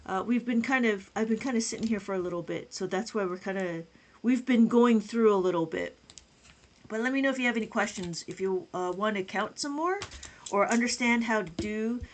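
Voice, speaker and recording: A woman's voice: medium pitch, brisk. A woman teaching abacus math on a live stream, chatting with viewers, greeting them by name and counting numbers aloud.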